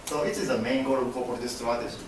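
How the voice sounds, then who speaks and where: A young man from Japan with a Japanese accent gives a class presentation as a business student, halting and filling pauses as he explains. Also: mid-range voice, steady tone, background noise. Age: young adult. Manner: halting, many fillers and restarts, gestures broadly. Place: classroom with projector screen. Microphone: far camera. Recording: echoey classroom from far off.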